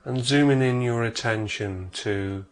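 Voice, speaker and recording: A man narrating a guided meditation as an instructor, in a measured way with long pauses between phrases. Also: medium pitch.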